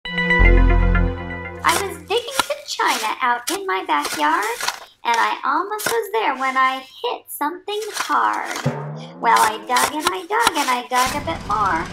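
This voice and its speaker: Storybook boy female performer: exuberant teller of tall tales, high, slightly creaky voice, roomy recording over music. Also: heavily acted. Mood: eager, explaining. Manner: breezy, confident, exuberant. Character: imaginative, cheeky young schoolboy telling tall tales. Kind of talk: children's picture book read-aloud. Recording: roomy sound under clear music.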